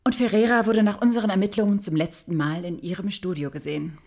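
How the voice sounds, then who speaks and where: A woman's voice: mid-range voice, steady tone, quick pace, darkish tone, lightly acted. An actress playing a grave, accusing female police investigator in an audio drama, speaking with emphatic moral conviction. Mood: matter-of-fact, official.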